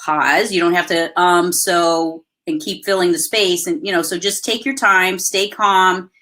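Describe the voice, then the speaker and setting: Loud, bright-voiced woman host. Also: lowish voice, measured pace. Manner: loud voice that carries; energetic, chatty coaching. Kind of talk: live webinar coaching. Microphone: video call.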